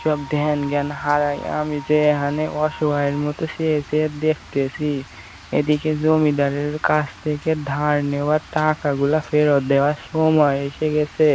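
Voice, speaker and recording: A man's voice: fairly high pitch, a little mellow, a little hiss or hum, lightly acted. A man playing a poor village farmer in a cartoon, a humble, anxious father with a plaintive manner. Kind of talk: Bengali cartoon voice acting.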